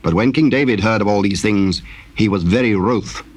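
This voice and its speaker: Solemn man narrating scripture, husky voice. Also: lowish voice. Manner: solemn, measured storytelling in archaic English. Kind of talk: scripted dramatic Bible narration.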